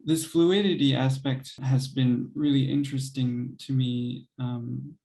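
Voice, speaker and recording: A young man and composer, speaking thoughtfully in an interview, hesitant with many pauses and soft laughs. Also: mid-range voice, fairly even intonation, vocal fry. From United States. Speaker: composer and pianist guest. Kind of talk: reflective interview conversation.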